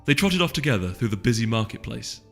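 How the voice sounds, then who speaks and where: A man's voice: medium pitch, sing-song, fast talker, creaky. Man narrating a story, reverberant. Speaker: narrator, fiction reader. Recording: long reverb with music underneath.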